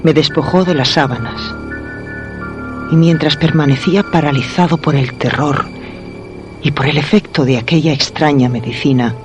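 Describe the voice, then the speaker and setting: An actor narrating a macabre suspense radio drama with a Spain accent, scary music behind him. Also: male voice, on the high side, close to an everyday voice. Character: storyteller of a macabre horror tale.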